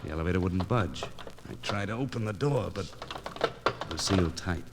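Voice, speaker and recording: An actor playing a grim, wry newspaper reporter in 1940s radio noir style, with terse, clipped, dramatic phrasing. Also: male voice, low voice, normal speed, lightly acted. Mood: urgent, tense. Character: hard-boiled male newspaper reporter, grim, wry and determined. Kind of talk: 1940s radio noir acting, first-person narration. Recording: crackly, noisy 1940s radio transcription disc.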